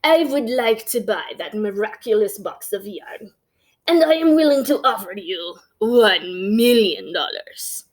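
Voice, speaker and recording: A woman voicing a grand, imperious archduke in a storybook read-aloud, speaking formally and deliberately. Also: mid-range voice, expressive. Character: grand archduke fond of clothes, bargaining imperiously.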